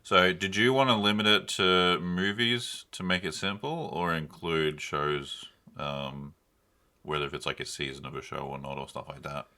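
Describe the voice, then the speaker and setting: A man's voice: deep voice, moderate pace, pushed and bright. A young man co-hosting a podcast in casual chat, speaking in a hesitant, meandering way. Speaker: podcast co-host.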